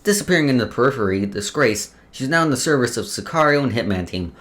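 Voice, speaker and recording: Guy doing a let's play, reading lore out loud, trips on words n restarts, chatty. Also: mid-range voice, lively intonation, fast. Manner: stumbles over words while reading, then restarts. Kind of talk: reading game lore aloud with casual asides.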